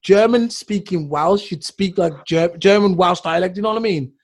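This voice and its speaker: A man's voice: high voice, normal speed. A man co-hosting a casual chat who rambles and keeps asking "you know what I mean?".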